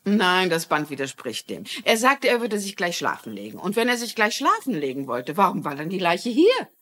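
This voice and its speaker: Resolute, leicht heisere Ermittlerin. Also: female voice, fairly low pitch, huge pitch swings, normal speed, a bit bright, exaggerated voice. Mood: reasoning. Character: shrewd, self-assured middle-aged lady sleuth. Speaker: lead actress. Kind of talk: German-dubbed TV mystery dialogue.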